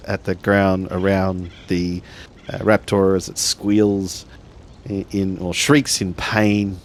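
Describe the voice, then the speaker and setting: A man narrating a tabletop roleplaying game in a halting way, building his sentences bit by bit. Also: lowish voice, a little hiss or hum. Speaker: tabletop RPG player.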